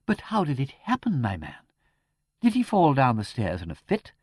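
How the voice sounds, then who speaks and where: A man's voice: mid-range voice, wildly swooping pitch, normal speed, slightly rough, dry recording, studio quality, voice pushed up. A man voicing an anxious, kindly English vicar in an audiobook, hesitant and pleading. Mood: anxious hope.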